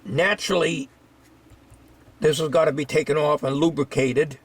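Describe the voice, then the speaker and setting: A man giving an informal, unscripted hands-on repair demonstration, thinking aloud in a halting way. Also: fairly high pitch, steady tone, breathy edge.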